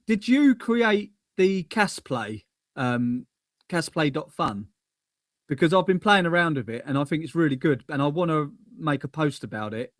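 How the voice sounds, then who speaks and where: An English man co-hosting a casual live stream, chatty and quick to laugh. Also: fairly high pitch, no echo, clean studio sound. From England. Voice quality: laughs often, loose and chatty. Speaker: co-host.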